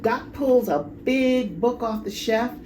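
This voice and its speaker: A woman's voice: fairly high pitch, reverberant, slight background noise. A woman reading a children's storybook aloud expressively, drawing out words for emphasis and adding asides. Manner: animated, drawn-out emphasis.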